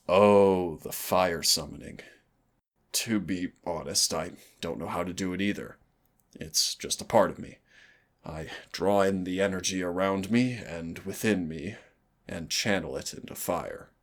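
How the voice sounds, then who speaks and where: Male actor as fire demon, very low pitch, slow unhurried delivery, teasing and devoted, intimate roleplay audio, husky. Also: full character voice. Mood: gentle, explaining. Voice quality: very deep, husky, gravelly. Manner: intimate, teasing, addresses listener as darling. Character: teasing, lovestruck winged fire demon, tender mentor to a human.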